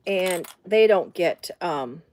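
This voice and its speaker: A woman's voice: on the low side, expressive, slow pace, darkish tone, a little hiss or hum. A middle-aged woman, hosting a casual live sewing demonstration from her home sewing room and rambling into side stories with lots of fillers. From Midwestern US. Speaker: sewing tutorial host.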